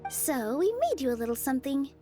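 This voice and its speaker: An actress playing a gentle young theater dancer in a video game, speaking in an earnest, encouraging way. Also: female voice, high-pitched, expressive, fast talker. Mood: warm, earnest. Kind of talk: scripted video game dialogue. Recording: background music under the dialogue.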